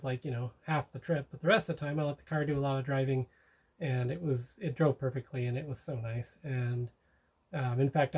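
This is a middle-aged man talking straight to a close camera from inside a small motorhome. He looks back on a trip in an easygoing, rambling way, stopping on fillers and restarting his sentences. His voice sits a little higher than usual for a man. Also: muffled recording. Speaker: sailing vlog host. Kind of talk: casual narrated recap to camera.

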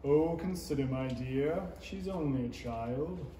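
A timid, dithering king acted by a man, slow and mellow. He placates hesitantly, sounding distant in an echoey hall. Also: medium pitch, lightly acted. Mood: timid pleading. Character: meek, dithering henpecked King consort. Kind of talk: 1930s-style stage radio play acting.

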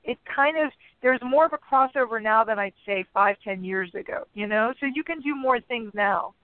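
A woman guest in a casual interview, talking in a chatty, rambling way full of fillers, her voice a bit raspy. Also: fairly high pitch, moderate pace, no room sound. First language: English. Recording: phone-line radio sound.

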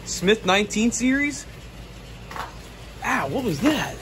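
A man's voice: high-pitched, sing-song, takes it slowly, background noise. A man in his thirties talking casually off the cuff as he works as a technician, partly muttering to himself, recorded on a handheld phone. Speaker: HVAC technician filming his workday. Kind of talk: casual off-the-cuff vlog talk. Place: on the job, often in cramped boiler basements. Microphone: handheld phone at arm's length.

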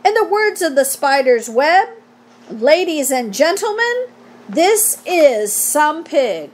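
A woman voicing a pompous, formal loudspeaker announcer at a fair, in a storybook read aloud. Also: high voice, sing-song, slow pace, full character voice. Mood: pompous, proud. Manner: pompous, formal, grandly declamatory.

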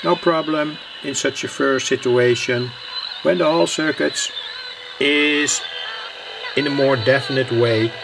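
A man giving an unscripted hands-on demonstration as a hobbyist, speaking haltingly in short phrases. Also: mid-range voice, steady tone, gravelly, over music, rough recording. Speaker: hobbyist radio builder presenting. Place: indoor home workbench. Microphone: handheld camera's built-in microphone. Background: shortwave radio playing.

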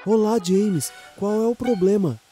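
A woman's voice: mid-range voice, a little slow, soft background music, close to an everyday voice. A woman voicing a cheerful, helpful young male tank engine in a Brazilian Portuguese children's cartoon dub, exclamatory and enthusiastic. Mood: friendly, confident. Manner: bright-eyed, enthusiastic, exclamatory.

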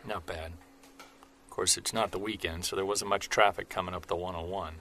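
An actor playing an earnest male Bigfoot investigator who narrates in the first person, measured and defiant toward skeptics. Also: deep voice, steady tone, bright, forceful tone. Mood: strained. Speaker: narrator and lead character. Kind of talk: audio drama narration.

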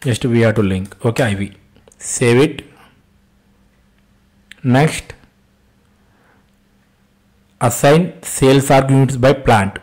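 A man with an Indian accent and a mid-pitched voice walks learners through software as an instructor. He talks off the cuff, step by step, often repeating phrases and asking himself short questions before answering them. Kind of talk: step-by-step screen-share tutorial, spoken off the cuff.

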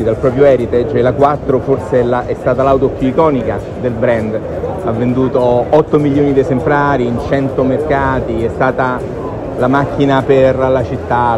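A man, a car company executive, answering interview questions confidently and fluently at a busy motor show. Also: medium pitch.

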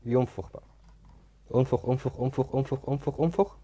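Man, Egyptian Arabic accent, medical lecturer, rapid delivery, dark relaxed timbre. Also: mid-range voice, slightly rough. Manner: explains step by step, repeats words while demonstrating. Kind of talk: recorded lecture explaining slides.

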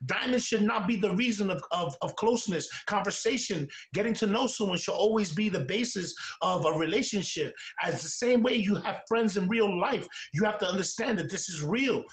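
A man's voice: high voice, animated, a little fast, few pauses, roomy sound. A man with a Castilian Spanish accent, a streamer guest on a video call, giving punchy motivational talk that repeats its slogans. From Cuban, Haitian and Ecuadorian family.

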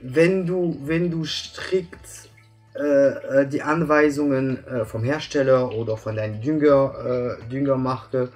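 A man's voice: mid-range voice, a little slow, darkish tone. A man in his thirties from France, speaking German with a French accent as an invited expert, explaining patiently with many hesitations and fillers. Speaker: guest expert. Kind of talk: explanatory interview chat. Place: bright office room with plants.

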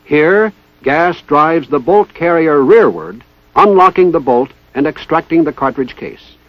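Lively-pitched man narrating a vintage training film. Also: dry recording. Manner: formal, precise, measured enunciation. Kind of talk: 1960s instructional film narration, scripted. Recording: dull 1960s training film soundtrack.

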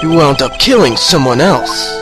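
A man's voice: a little high, expressive, normal speed, music in the background, close to an everyday voice. A man playing a determined young defense lawyer, pressing and confrontational, in a video game fan dub.

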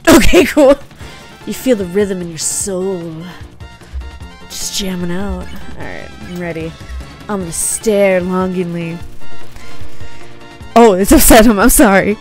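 A young woman commenting casually and excitedly as she plays a game, often laughing. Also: fairly low pitch, measured pace, pauses often. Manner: giggly, excitable. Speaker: gaming youtuber. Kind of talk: casual let's play commentary. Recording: game music faint underneath.

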